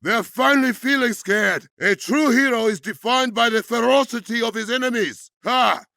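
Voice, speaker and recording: Regal warrior king for a game: African accent, solemn, honour-bound, measured authority, dry studio sound. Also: extremely high pitch, creaky, pushed and bright, no room sound, heavily acted. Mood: alert, confident. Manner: formal, measured, commanding. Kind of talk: dramatic video game character acting.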